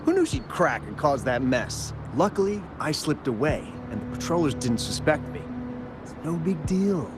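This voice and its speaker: An actor voicing a smug, callous crook in a video game, cocky and dismissive. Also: male voice, a little high, big pitch swings, normal speed. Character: smug, callous male crook posing as exile. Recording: clear background ambient noise.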